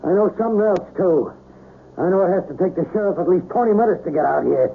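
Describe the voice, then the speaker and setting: A man's voice: high-pitched, expressive, quick pace, gravelly. An actor playing a grim, stubborn working-class man bent on revenge in a 1970s radio drama, plain-spoken and menacing. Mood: menacing, resolute. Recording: tinny, noisy 1970s radio broadcast.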